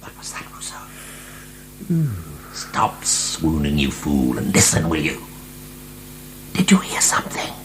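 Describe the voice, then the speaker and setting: An actor in a radio drama playing an imprisoned marquis, a defiant, contemptuous and morbid philosopher, theatrical and sneering. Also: male voice, rumbling low, wildly swooping pitch, takes it slowly, long pauses, fairly bright tone, in a whisper, exaggerated voice. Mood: sneering, intense. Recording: hissy archived radio drama.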